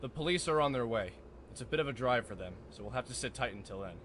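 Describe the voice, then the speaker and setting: A man plays a cautious police detective in a visual novel. He is courteous and calm, with dry authority and an ordinary male pitch, set over clear background noise. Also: fast talker, close to an everyday voice. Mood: measured.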